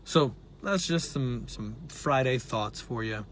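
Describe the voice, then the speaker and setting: A man who is a dietitian and nutrition professor, talking casually and earnestly to his viewers while his voice is raspy from laryngitis. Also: mid-range voice, slow pace, fairly bright tone, a little hiss or hum. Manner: earnest, conversational, with frequent fillers. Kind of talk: casual talk to camera.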